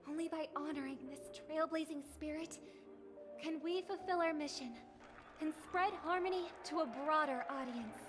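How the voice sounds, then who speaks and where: A woman's voice: high-pitched, normal speed, close to an everyday voice. A woman playing a poised ceremonial speaker. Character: gracious young woman giving a ceremonial speech. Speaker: festival speaker. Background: game music.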